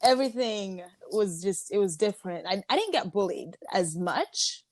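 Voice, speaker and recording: Woman from Uganda, young adult, neutral accent, candid lively talk, mid pitch, dry close sound. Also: normal speed, dry recording. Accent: mixed, neutral, slightly American. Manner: lively, laughs easily, candid. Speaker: podcast guest. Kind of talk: casual interview.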